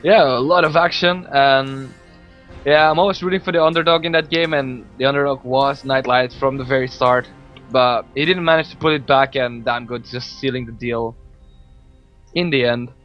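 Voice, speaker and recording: A man from Belgium, not a native English speaker, casting a live esports match in a relaxed, chatty way with many fillers. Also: medium pitch, moderate pace. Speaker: esports caster. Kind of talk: live game commentary. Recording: dull lo-fi stream audio, faint music.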